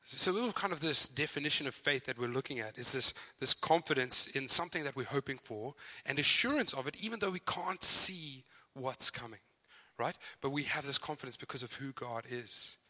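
A man's voice: mid-range voice, lively intonation, fairly quick, pushed and bright. A man with a Zimbabwean accent, preaching a sermon as a visiting speaker in a friendly, chatty way with fillers and asides. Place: church hall. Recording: church hall reverb.

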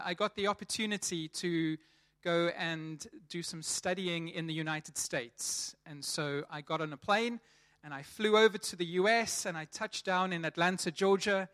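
A man's voice: high voice, pushed and bright. A man in his thirties with a South African accent, giving a chatty sermon to his church and often repeating words as he restarts. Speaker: church leader preaching.

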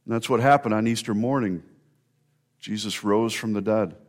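A man preaching an Easter sermon as a pastor, earnest and warm in delivery, sometimes building to emphatic repetition. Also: fairly low pitch, moderate pace.

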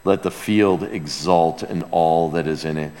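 A man preaching an expository sermon, reverent and emphatic, moving between loud proclamation and hushed emphasis. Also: low-pitched, measured pace. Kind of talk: expository sermon with dramatic scripture reading. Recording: long reverberant hall sound.

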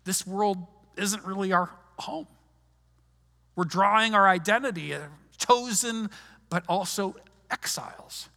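A man's voice: high voice, measured pace, vocal fry, sharp tone. A man preaching a sermon in a conversational way, often restarting his sentences, recorded in a large hall. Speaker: pastor. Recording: long hall reverb.

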